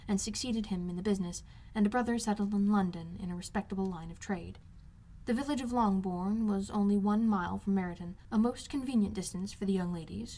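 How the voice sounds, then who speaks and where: Female audiobook narrator, medium pitch, scripted literary prose. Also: dark tone. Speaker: amateur audiobook reader.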